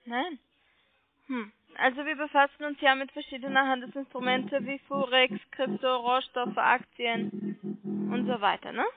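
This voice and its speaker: A woman telemarketer making a sales pitch over the phone, persistent and polite, coaxing with check-back questions. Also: moderate pace, a crowd in the background. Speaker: telemarketing caller selling online trading. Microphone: telephone call. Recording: muffled phone line.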